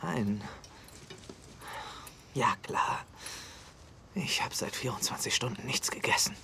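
A man's voice: mid-range voice, expressive, takes it slowly, gravelly, sharp tone, slight background noise, full character voice. A man playing an anxious, polite young hostage in a German screen dub, jittery and stammering. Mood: wary. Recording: music behind the voice.

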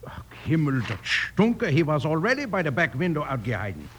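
A man's voice: on the high side, sing-song, fairly quick, a bit bright. A man playing a flustered comic German gunsmith, sputtering mangled English with a thick German accent in a radio western. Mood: indignant, flustered. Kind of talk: old-time radio comic western acting. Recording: faintly noisy old radio recording.

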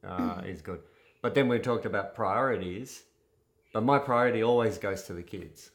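A man born in Serbia co-hosting a casual couple's chat, easygoing and a bit halting as he talks. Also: on the low side, vocal fry. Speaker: podcast co-host.

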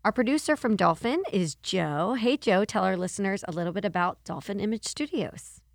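A woman's voice: mid-range voice, animated, a little fast, creaky, no echo, clean studio sound. Female podcast host, upbeat and polished delivery. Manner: upbeat, polished, with friendly affirmations. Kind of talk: chamber podcast hosting and interview.

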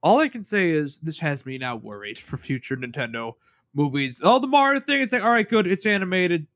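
A man's voice: a little high, big pitch swings, brisk, fairly bright tone, no echo, studio quality. A man co-hosting a casual podcast, talking emphatically at length with a stuffy nose. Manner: emphatic, swears, talks at length. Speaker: podcast co-host. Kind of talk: casual chat.

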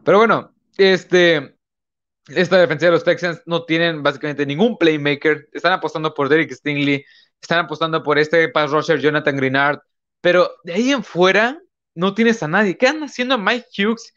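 A young man hosts his podcast in a slightly high voice. He rambles casually, piling up fillers and correcting himself mid-sentence. The sound is dull and lo-fi. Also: normal speed, a bit bright. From northern Mexico. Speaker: podcast host. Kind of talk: casual live-stream talk.

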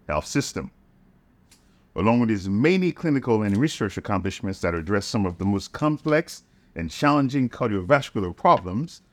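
A man with a low-pitched voice hosts an interview podcast. He speaks formally and deliberately, and the sound is dry with very little room. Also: no room sound. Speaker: podcast host, medical school dean.

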